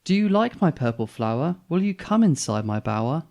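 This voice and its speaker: A small male bowerbird from a storybook, voiced by a man, speaks a little higher than an average man. He is earnest, polite and lovelorn, a big-hearted and hopeful suitor. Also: sing-song, brisk, gravelly, darkish tone, dull audio. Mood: eager wooing.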